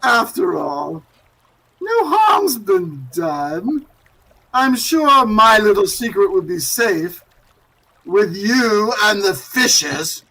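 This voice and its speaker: An actor playing a gloating, urbane murderer who speaks with mocking politeness, in 1940s radio drama style. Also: male voice, high-pitched, big pitch swings, very slow, pushed and bright, exaggerated voice, rough recording. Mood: smug, menacing. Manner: mocking politeness with frequent chuckles. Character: gloating, urbane male murderer holding captives at gunpoint.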